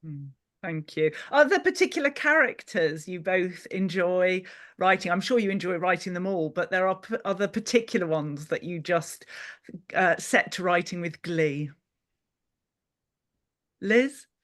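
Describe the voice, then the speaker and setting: A woman's voice: on the low side, animated, measured pace, a bit bright. A woman asking questions conversationally as a panel host. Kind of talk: conversational interviewing.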